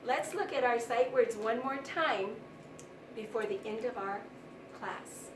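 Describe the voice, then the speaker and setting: A woman's voice: on the high side, unhurried. A woman teaching young children in a read-aloud lesson, asking questions and prompting them to repeat words. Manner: didactic, asks questions and prompts repetition. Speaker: primary school teacher. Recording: roomy, distant mic, faint noise.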